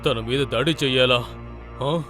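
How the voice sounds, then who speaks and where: A man's voice: medium pitch, measured pace, fairly bright tone, soft background music, lightly acted. Conflicted, earnest cartoon lion voiced by a man. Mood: troubled. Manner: earnest, dramatic. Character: lame male lion, conflicted and remorseful, in a children's fable. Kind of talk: animated children's story acting.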